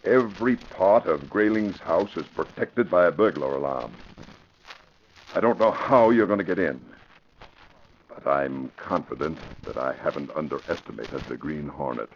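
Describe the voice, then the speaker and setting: A man's voice: very deep voice, moderate pace, a little hiss or hum. A man playing an earnest, authoritative American police commissioner in a classic radio drama. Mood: confiding. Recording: muffled, lo-fi vintage radio recording.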